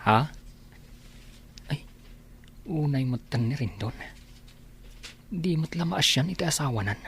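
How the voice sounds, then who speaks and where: A man's voice: fairly high pitch, animated, slow, a bit bright, slight background noise, full character voice. A Filipino man with an Ilocano accent playing a caring, frank friend in an audio drama, speaking colloquially and expressively. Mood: concerned, bashful. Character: caring, frank male friend, secretly tender.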